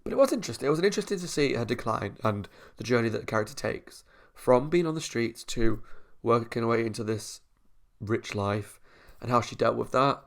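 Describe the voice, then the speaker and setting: Gravelly man reviewing casually. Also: medium pitch, animated, a little fast. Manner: chatty, thinks aloud while weighing opinions. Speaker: YouTube reviewer.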